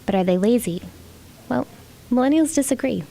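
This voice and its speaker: A woman's voice: on the high side, expressive, normal speed, vocal fry, mellow. An American woman reading scripted narration as a student reporter.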